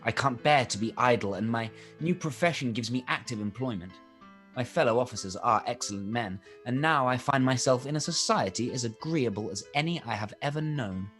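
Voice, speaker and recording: A man plays a smooth, wronged young officer in a period radio drama. He speaks in a measured, confiding way at a middle pitch. Music is audible behind him. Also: fairly bright tone, lightly acted. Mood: stoic, cheerful. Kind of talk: live-read period radio play acting.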